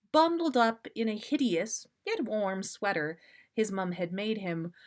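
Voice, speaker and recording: A woman hosting a livestream, reading a story aloud in an amused, casual yet theatrical way. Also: medium pitch. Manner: amused, expressive delivery. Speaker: podcast host.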